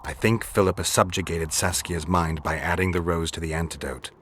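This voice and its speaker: A man acting a stoic, battle-worn monster hunter in a video game, terse and deadpan in delivery. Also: low-pitched, fairly quick, slight background noise. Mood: grim, measured. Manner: deadpan, terse, matter-of-fact.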